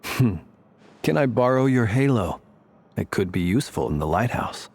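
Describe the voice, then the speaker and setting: A man's voice: fairly low pitch, expressive, normal speed, darkish tone, slightly rough. An actor playing an unflappable, drily teasing man, laid-back and wry, in a video game. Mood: dry teasing.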